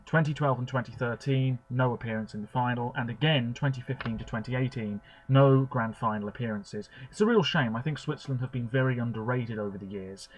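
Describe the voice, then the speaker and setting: A man rambles on in a chatty, opinionated way, full of fillers and asides, his pitch sitting in an ordinary middle range. He talks to the camera from a room at home, as a video blogger sharing his views. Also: a little fast, hardly any pauses, slight background noise, low-quality recording. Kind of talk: rambling commentary.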